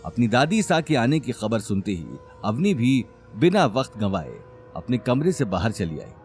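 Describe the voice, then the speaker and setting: Expressive male story narrator, lively pitch. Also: moderate pace, soft background music. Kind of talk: dramatic scripted story narration.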